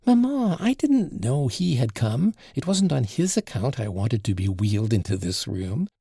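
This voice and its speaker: A capricious invalid girl snaps out orders and teases in a raised, lively voice, as a male audiobook reader puts her on. The sound is gravelly and husky, close and dry. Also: medium pitch, normal speed, no echo, voice pushed up, exaggerated voice. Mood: mocking. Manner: sharp, bossy and mocking.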